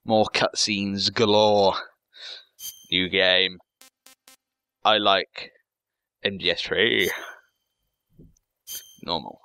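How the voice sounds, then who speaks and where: A man's voice: fairly low pitch, creaky, pushed and bright, clean tone, no echo. A man giving casual let's-play commentary as a gaming host, rambling and jokey with some swearing. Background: game music.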